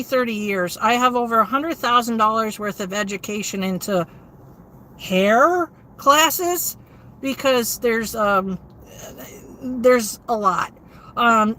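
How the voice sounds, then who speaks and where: A woman, hosting a casual livestream and reading viewer comments in a steady, low-inflection way with frequent ums. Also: slight background noise, rough recording. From New England. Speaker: livestream host.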